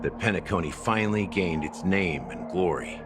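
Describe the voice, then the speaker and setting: An actor playing a weary, regretful officer in a video game, speaking gravely and deliberately with dramatic pauses. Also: male voice, low voice, lightly acted. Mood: solemn, storytelling. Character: weary, world-worn male officer haunted by regret. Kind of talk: English video game voice acting. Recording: dramatic music behind the voice. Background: loud background music.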